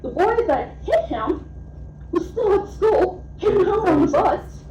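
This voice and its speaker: A woman speaking as a parent at a public comment, earnest and halting, with frequent fillers. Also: very high voice, animated, mellow, muffled recording, a little hiss or hum. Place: school board meeting room. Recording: echoey meeting room from far off.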